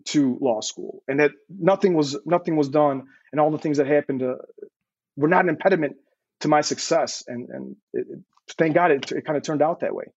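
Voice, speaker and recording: Earnest halting man, muffled sound. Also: fairly high pitch, darkish tone, no room sound. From Serbia. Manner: earnest, halting with many repeated words. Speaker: guest. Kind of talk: casual interview.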